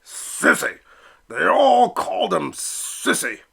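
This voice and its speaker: Brief: man playing a blustery dad duck who hollers from the sidelines, bright and animated. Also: high-pitched, takes it slowly, vocal fry, slightly rough, voice pushed up, heavily acted. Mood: exasperated. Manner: loud and insistent. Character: gruff, sports-loving father duck.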